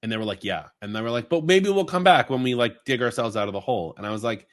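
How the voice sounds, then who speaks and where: A man from Long Island, co-hosting a podcast in rambling, animated casual chat. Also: medium pitch, quick pace. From Long Island, New York. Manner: rambling, animated storytelling with fillers and asides. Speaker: podcast co-host.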